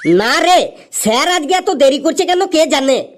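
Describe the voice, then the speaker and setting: An excitable village schoolchild in a comedy cartoon, played by a woman. The voice is high, with earnest, exaggerated classroom delivery. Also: unhurried, noisy background. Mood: curious. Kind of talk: Bengali comedy cartoon dialogue.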